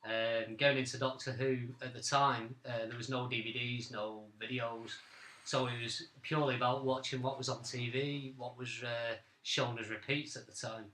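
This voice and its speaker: A man's voice: medium pitch, steady tone, normal speed, fairly bright tone, a little hiss or hum. A man of about forty co-hosting a podcast, reminiscing in casual conversation. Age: late 30s to early 40s. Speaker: podcast co-host. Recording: roomy, echoing amateur recording.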